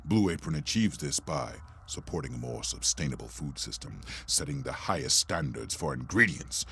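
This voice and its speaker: A man's voice: extremely low pitch, lively intonation, moderate pace, vocal fry, fairly bright tone, slight background noise. A man reading a scripted podcast sponsor message. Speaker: podcast ad reader.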